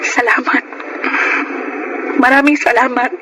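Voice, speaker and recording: A woman's voice: high voice, level tone, slow pace, low-quality recording. A woman with a Filipino accent playing a needy, lovelorn young woman in an emotional radio drama. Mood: remorseful. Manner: pleading, heartfelt. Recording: music behind the voice.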